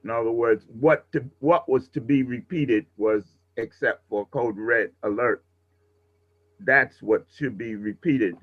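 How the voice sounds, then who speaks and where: A man's voice: mid-range voice, measured pace. A man coaching a radio class informally, explaining and correcting himself as he goes, heard through dull remote audio.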